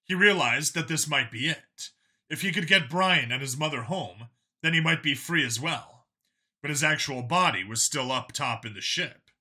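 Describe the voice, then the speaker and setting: Man, dramatic story narration, fairly high voice, sharp forceful tone, audiobook reader.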